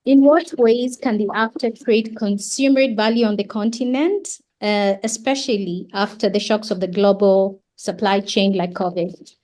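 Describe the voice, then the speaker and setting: A woman's voice: mid-range voice, fairly even intonation, unhurried, darkish tone. A woman with a Kenyan accent moderating a webinar, reading panelist introductions with frequent hesitations over a video call.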